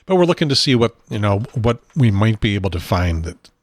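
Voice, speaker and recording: A man hosting his own talk show from a home studio desk, rambling and self-deprecating, with a husky, unsteady voice and frequent throat clearing. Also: lowish voice, very animated, brisk, no room sound. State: has a probe in his nose. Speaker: podcast host.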